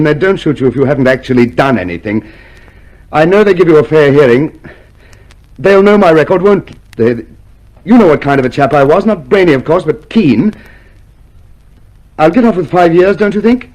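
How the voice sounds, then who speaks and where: High-voiced, nervous loyalist prisoner. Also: male voice, a little mellow, rough recording. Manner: nervous, stammering, chummy. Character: meek, eager, deluded loyal party man under arrest. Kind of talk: radio drama acting.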